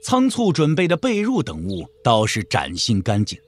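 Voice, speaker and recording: Male narrator, mid-pitched, very varied intonation, scripted dramatic storytelling, literary delivery. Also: wildly swooping pitch, moderate pace.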